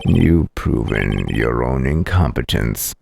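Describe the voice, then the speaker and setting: A man acting out a smug video game prosecutor who drawls very slowly in a low, creaky voice. He is cool and deliberate, savoring each threat over faint music. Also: expressive, darkish tone, full character voice. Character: smug coffee-obsessed male prosecutor, slow and menacing. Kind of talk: dramatic video game dialogue reading.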